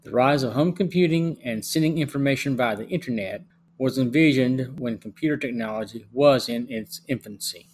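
A middle-aged man hosting a review podcast, reading scripted narration in a measured way. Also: mid-range voice, darkish tone. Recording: faint hum and background noise.

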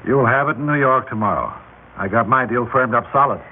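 A man's voice: medium pitch, brisk, lightly acted. An actor playing a ruthless crime boss in a classic radio drama, with clipped, commanding tough-guy talk that turns nervous under pressure. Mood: uneasy, placating. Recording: tinny, noisy old radio broadcast.

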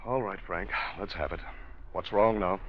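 A man's voice: deep voice, normal speed, a little hiss or hum, close to an everyday voice. An actor playing a stern older businessman uncle who lectures formally and righteously, in 1940s radio drama style. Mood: weary reproach. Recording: dull old radio recording.

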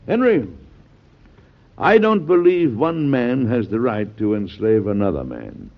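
An actor playing a principled, stubborn American president in an old-time radio drama, with an earnest, formal, declamatory manner. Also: male voice, mid-range voice, very animated, measured pace, gravelly. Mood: earnest, resolute. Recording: muffled vintage radio recording.

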